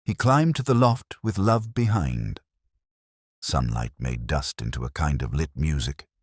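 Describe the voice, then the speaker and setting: Guy narrating a detective story, super low voice, animated intonation, dry sound. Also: measured pace, breathy edge, no echo. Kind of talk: scripted literary mystery narration.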